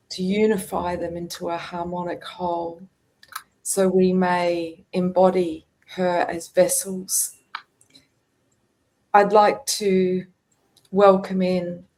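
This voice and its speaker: A woman hosting a spiritual podcast over a video call, speaking in a slow, reverent, ceremonial way as she leads an invocation. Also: mid-range voice, a little mellow, poor audio. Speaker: podcast host.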